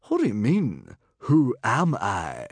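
A man's voice: mid-range voice, sing-song, moderate pace, a bit bright, no echo. A man voicing a self-important middle-aged Viking hero, breezy, protesting and easily exasperated, in a storybook. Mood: affronted.

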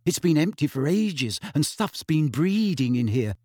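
A man's voice: on the high side, very animated, darkish tone, breathy edge, dry recording, studio quality, heavily acted. A man voicing an anxious, clever teenage girl who apologizes and explains in a hurry. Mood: hasty explaining. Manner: apologetic, hurried.

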